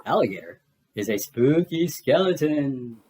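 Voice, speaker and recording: Animated woman reading slowly to children. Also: very deep voice, a little hiss or hum. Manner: exaggerated, expressive delivery. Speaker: children's storybook reader. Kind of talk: animated read-aloud narration for young children. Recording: music behind the voice. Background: music and sound effects.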